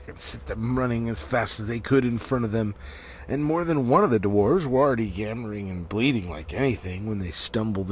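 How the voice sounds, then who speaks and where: A man narrating a storybook as an amateur reader, theatrical, with drawn-out words and pauses. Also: fairly low pitch, slight background noise. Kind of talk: dramatic storybook reading.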